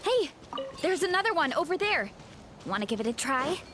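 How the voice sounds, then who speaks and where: A woman's voice: sits high, very animated, normal speed, noisy background. A woman voicing a cheerful, humble young athletic warrior in a video game, speaking in a friendly, eager, casual way. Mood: breezy, reassuring. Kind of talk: video game character acting.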